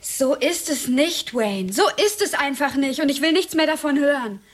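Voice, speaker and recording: High-voiced, girlish, whiny young woman played by an actress. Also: moderate pace, lightly acted. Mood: earnest, chiding. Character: childlike, naive, whiny young female killer's girlfriend. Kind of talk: German radio drama acting.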